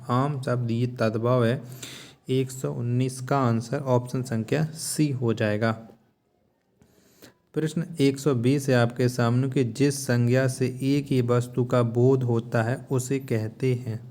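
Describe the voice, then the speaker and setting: A man's voice: medium pitch, darkish tone. A man teaching a lesson, methodically reading out quiz questions and repeating each key answer after a rhetorical question. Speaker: Hindi grammar teacher for exam prep.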